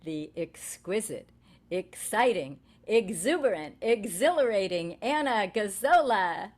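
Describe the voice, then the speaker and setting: Woman, concert host, very slow, lively pitch, florid praise, distant from the mic. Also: slight background noise. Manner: florid, alliterative praise with laughter. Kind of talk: effusive host introduction.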